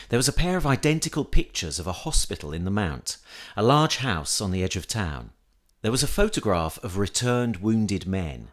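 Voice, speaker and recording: A man reading a scripted literary essay aloud in a measured, reflective manner. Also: fairly low pitch. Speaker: reader of an essay.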